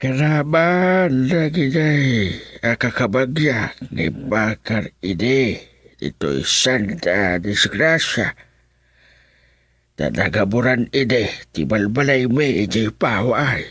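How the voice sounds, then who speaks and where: A man's voice: medium pitch, animated, takes it slowly, fairly bright tone, breathy edge, no echo, clean studio sound, exaggerated voice. A man playing a polite, wistful old returnee in a radio drama, with an Ilocano accent and a hesitant, repeating delivery mixed with English.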